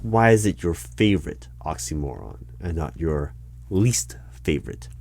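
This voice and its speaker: A man doing an ASMR interview roleplay, asking questions in a deadpan, clipped manner. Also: low-pitched, unhurried, gravelly, noisy background. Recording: keyboard typing heard throughout. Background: keyboard typing and clicks.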